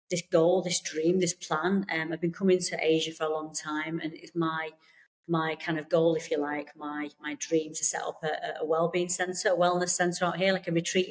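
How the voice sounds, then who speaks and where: A British woman from the UK, a therapist guest chatting on a podcast over a remote call, warm and reassuring with many fillers and restarts. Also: lowish voice. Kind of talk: casual interview chat.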